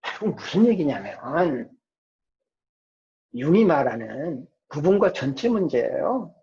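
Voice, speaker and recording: Male professor, mellow dark tone, informal explanatory lecture, rhetorical questions, dull lo-fi recording. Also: fairly high pitch, big pitch swings, slow pace. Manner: asks and answers own rhetorical questions.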